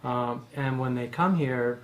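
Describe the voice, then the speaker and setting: A man's voice: mid-range voice, a little slow, rough recording. American man explaining casually, some background noise. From United States. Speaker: bar co-founder being interviewed. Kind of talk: casual explanatory interview talk.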